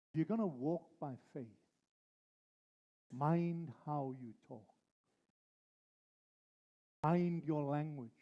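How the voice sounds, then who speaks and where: Slow, emphatic male preacher. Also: mid-range voice, lo-fi sound. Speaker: pastor. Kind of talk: sermon.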